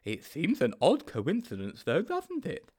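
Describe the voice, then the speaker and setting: A timid, muddled sidekick from a storybook, pitched far up into a high voice. The delivery is plaintive and naive, swooping through admiring, puzzled questions. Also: male voice, huge pitch swings, unhurried, darkish tone, slightly rough, exaggerated voice. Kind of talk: storybook character voice.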